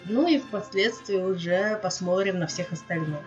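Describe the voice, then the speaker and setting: A woman's voice: on the low side, normal speed. A woman hosting a casual gaming let's-play, talking affectionately to her viewers, with her voice a little off. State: voice slightly off, under the weather. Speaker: gaming video host. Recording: dull lo-fi mic, music behind. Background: game music.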